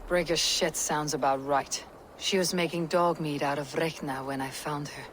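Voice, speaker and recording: A woman playing a stern, resolute Viking warrior in a video game, speaking bluntly and gravely. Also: low-pitched, fairly even intonation, moderate pace, a bit bright, slight background noise. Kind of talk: dramatic video game acting.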